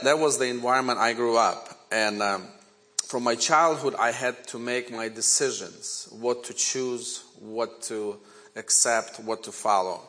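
A man in his forties with a Ukrainian accent, a native Ukrainian speaker, preaching a sermon woven with his own testimony in an earnest, measured way. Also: on the low side, bright, forceful tone. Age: 40s. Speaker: guest preacher.

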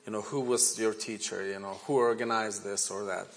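A man in his forties with a Ukrainian accent, a native Ukrainian speaker, preaching a sermon woven with his own testimony in an earnest, measured way. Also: lowish voice, fairly even intonation, gravelly. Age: 40s. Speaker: guest preacher.

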